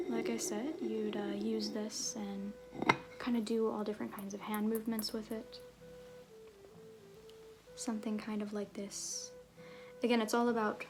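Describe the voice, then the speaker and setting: A woman playing a flirtatious, roguish circus fool in a soft-spoken ASMR roleplay with whispers, theatrical and sly, with hesitant pauses. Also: mid-range voice, vocal fry, natural voice. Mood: casual, teasing, then sly, conspiratorial.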